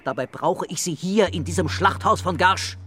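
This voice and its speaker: An actor playing a blunt, exasperated French police commissioner in a German radio crime drama, speaking colloquially. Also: male voice, high-pitched, normal speed, bright, forceful tone, slight background noise. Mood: skeptical, weary.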